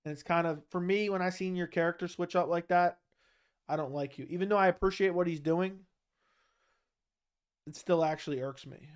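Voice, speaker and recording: A man's voice: high-pitched, steady tone, normal speed, long pauses, clean tone. A man hosting a casual livestream, reading questions from chat and answering them bluntly while his throat is sore.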